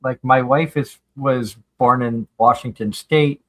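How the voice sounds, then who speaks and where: A man's voice: medium pitch, steady tone, measured pace. A man from Massachusetts, hosting a casual radio chat and rambling through anecdotes with repeated words. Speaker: radio show host. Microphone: streamed call mic.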